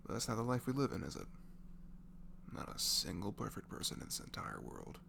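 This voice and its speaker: A man speaking softly and breathily in an improvised, comforting ASMR voice message, rambling affectionately and informally as if to a close friend. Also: lowish voice, very animated, brisk, creaky, noisy background. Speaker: amateur ASMR audio creator playing a supportive friend.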